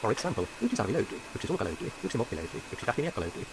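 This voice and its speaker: A man's voice: mid-range voice, very animated, racing, gravelly, noisy background. A man with a Finnish accent, a programmer narrating a scripted technical tutorial in a careful, explanatory way, sometimes catching on a word. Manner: careful, explanatory, stumbles on words. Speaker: programmer presenting a video.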